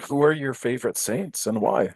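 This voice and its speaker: A man's voice: mid-range voice, moderate pace, breathy edge, no room sound. A man hosting an online prayer group chat on a video call, easygoing and welcoming people as they join.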